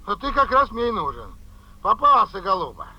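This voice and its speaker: A chaffing male official with a high, gravelly, piercing voice. He talks with needling familiarity in radio-drama style, heard through a muffled telephone line. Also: very animated, normal speed, exaggerated voice. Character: familiar, chaffing mine official, a nagging colleague. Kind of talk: 1970s Soviet radio drama acting. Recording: dull, band-limited phone line.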